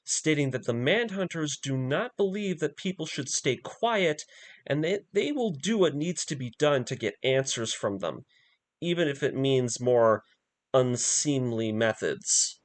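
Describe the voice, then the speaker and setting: Man with widely swinging, animated narration. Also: medium pitch, unhurried. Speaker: video essay host. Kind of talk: scripted story recap narration with opinionated asides.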